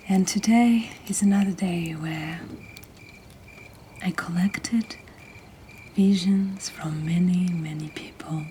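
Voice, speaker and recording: A woman who is not a native English speaker reads poetry as a host in a soft, breathy, gentle whisper, in an ASMR style. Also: on the low side. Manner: slow, gentle, deliberate delivery. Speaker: host reading submitted poetry. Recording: nighttime cricket ambience behind, faint noise. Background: crickets and insect ambience.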